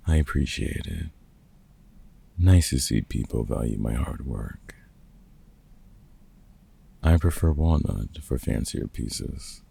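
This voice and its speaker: Gruff veteran monster hunter with a soft heart, played by a man, audio roleplay; very husky, unhurried, sweary mentor. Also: extremely low pitch, full character voice. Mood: relaxed, fond. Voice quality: gravelly, husky. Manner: gruff, sweary, casual mentor talk with pauses. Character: gruff, kind-hearted veteran male vampire hunter and woodworker. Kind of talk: comfort ASMR audio roleplay.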